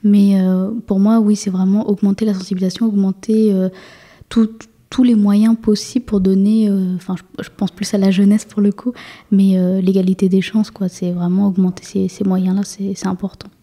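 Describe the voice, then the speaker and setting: Young woman in her 20s, interview guest; monotone, hesitant, restarts a lot. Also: mid-range voice, moderate pace. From France. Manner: hesitant, many fillers and restarts. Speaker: guest, municipal councillor. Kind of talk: casual interview chat.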